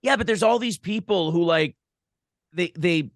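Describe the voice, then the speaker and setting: A man's voice: high voice, measured pace, no echo, studio quality. A man chatting casually as an interviewed podcaster, giving blunt, profane opinions. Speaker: podcast host being interviewed.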